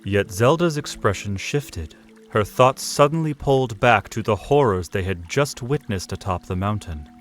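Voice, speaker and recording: A man with a lowish voice narrates an audio drama in a measured storytelling style. Faint music plays beneath his narration. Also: animated. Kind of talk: scripted narration.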